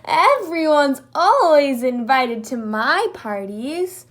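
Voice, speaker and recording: A woman's voice: sits high, very animated, slow, heavily acted. A woman voicing a friendly, welcoming zebra who chuckles while inviting everyone in, in a storybook reading. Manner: warm, chuckling.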